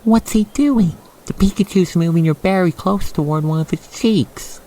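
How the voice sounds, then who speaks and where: A man guiding the listener through an ASMR roleplay in expressive, theatrical, wordy storytelling narration. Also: high-pitched, normal speed, a little hiss or hum, rough recording.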